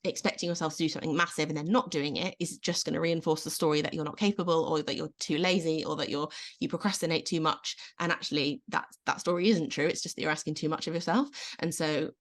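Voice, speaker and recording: Low-voiced woman coaching gently. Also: steady tone, fast, hardly any pauses. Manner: gentle, encouraging advice. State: slightly losing her voice. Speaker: self-belief coach guest. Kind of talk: casual video-call interview. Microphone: video call.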